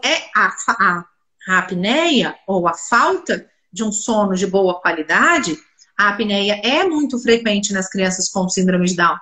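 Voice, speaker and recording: A woman's voice: mid-range voice, pushed and bright. Stuffy-nosed mineira lady, speech therapist, asks herself questions then answers, roomy echo on the call. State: congested with a cold. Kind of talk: explanatory interview talk. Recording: roomy echoey room sound.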